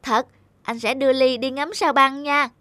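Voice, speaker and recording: Earnest high-voiced young boy. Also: fairly even intonation, moderate pace, sharp tone, no room sound. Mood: determined, caring. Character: young boy, devoted and protective older brother. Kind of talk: children's cartoon voice acting.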